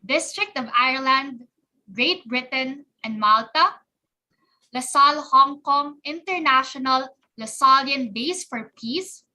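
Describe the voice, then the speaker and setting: High, bright-voiced woman hosting. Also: expressive, slow pace, low-quality recording. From Philippines. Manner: earnest, reads long lists of names. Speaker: co-host of an online event. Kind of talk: scripted hosting, closing thanks.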